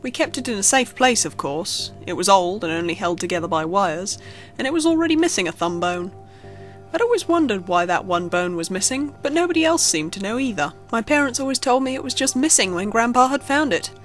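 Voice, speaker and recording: Woman reading a creepypasta, expressive storyteller, music playing behind her. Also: mid-range voice, brisk. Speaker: creepypasta narrator. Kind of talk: scripted horror story narration. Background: background music.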